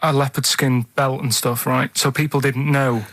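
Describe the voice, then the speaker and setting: A man's voice: mid-range voice, level tone, moderate pace, a bit bright, dry recording. A man with a Mancunian accent, chatting on a radio show in a hesitant way, full of ums and loose asides. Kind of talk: rambling radio chat. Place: radio studio. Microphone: studio microphone. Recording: dry studio sound.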